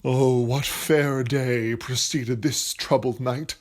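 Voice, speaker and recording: A man's voice: medium pitch, fairly even intonation, slow, natural voice. A man playing a passionate, grandiloquent playwright in a period audio drama, speaking in a florid, declamatory and earnest way. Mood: brooding, vengeful. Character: passionate, grandiloquent male playwright scheming for material. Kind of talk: theatrical period stage-play acting.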